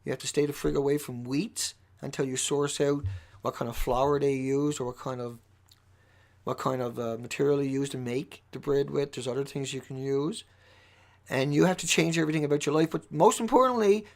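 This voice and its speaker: Male livestream host, fairly high squeaky voice with heavy fry. Impassioned, unscripted monologue, urgent and repetitive for emphasis. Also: fairly even intonation, moderate pace. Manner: urgent, emphatic, repeating phrases for stress.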